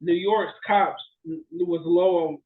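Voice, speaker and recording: Male podcast co-host, high voice, rambling and animated, casual conversational delivery. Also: slow pace, narrow, tinny audio. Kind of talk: casual chat about bad movies.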